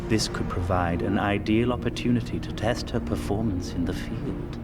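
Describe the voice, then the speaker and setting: An actor playing a proud, coldly superior imperial scientist in a video game, lecturing precisely and pompously over prominent music. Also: male voice, lowish voice, normal speed, close to an everyday voice. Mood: businesslike, calculating. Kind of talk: dramatic video game exposition.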